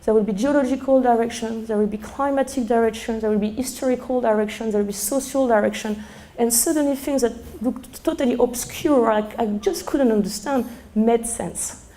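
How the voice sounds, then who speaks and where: A middle-aged woman with a strong French accent, a sommelier speaking live to a seminar room, hoarse from losing her voice and chatty with many fillers. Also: on the high side, brisk, mellow. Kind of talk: live talk to a seminar audience.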